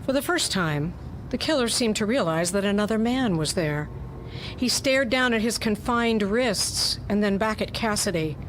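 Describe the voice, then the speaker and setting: Woman, medium pitch, theater presenter and narrator, radio studio, slight background noise. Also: a bit bright, poor audio. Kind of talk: casual radio interview and story narration.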